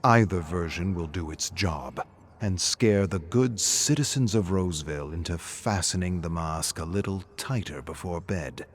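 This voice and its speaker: A man's voice: sits low, a little hiss or hum. A man giving a dramatic, scripted narration of a horror story.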